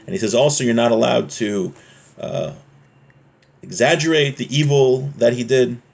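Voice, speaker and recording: A man's voice: mid-range voice, moderate pace, creaky. A man giving an informal religious lesson as a teacher, explaining texts in a conversational way and checking in with his listeners.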